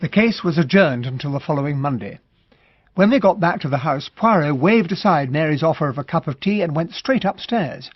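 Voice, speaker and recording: A gentlemanly English captain recounts his story in a radio play. He is measured and literate, with a slightly husky, fairly high male voice. The sound is close and dry. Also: animated, no room sound, dull audio. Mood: measured, reflective. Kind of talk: scripted first-person radio drama narration.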